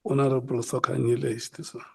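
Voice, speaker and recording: A man chairs a hearing and gives the witness short, plain directions. His voice is very gravelly and husky, and stays flat in pitch. He speaks in a committee room. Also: fast talker. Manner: brief, directive. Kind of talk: procedural remarks at a hearing.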